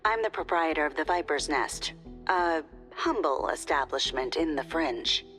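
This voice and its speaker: A woman's voice: sits low, expressive, unhurried, fairly bright tone, faint music behind. An actress playing a sly female proprietor who sells tips to a squad, in a silky, confiding manner. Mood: smooth. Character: sly female shop proprietor, smooth broker. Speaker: establishment proprietor. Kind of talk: video game voice acting.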